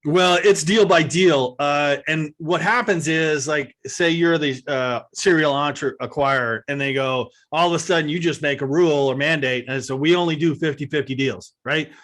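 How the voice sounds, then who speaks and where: A man's voice: fairly high pitch, normal speed, few pauses, fairly bright tone. A man answering questions as host in a casual, conversational way, explaining things through anecdotes. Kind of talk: casual question-and-answer talk on a video call.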